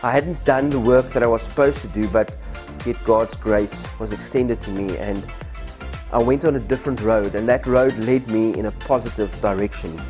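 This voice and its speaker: A man's voice: fairly low pitch, moderate pace. A South African man in his forties, a native Afrikaans speaker, telling his church testimony in a candid, conversational way with frequent fillers. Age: 40s. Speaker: church member giving a testimony. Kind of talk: personal testimony. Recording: soft music behind.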